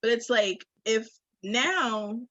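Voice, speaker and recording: Husky woman in candid, slangy conversation. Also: a little high, animated, takes it slowly, fairly bright tone, no echo, lo-fi sound. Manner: emphatic, slangy, swearing.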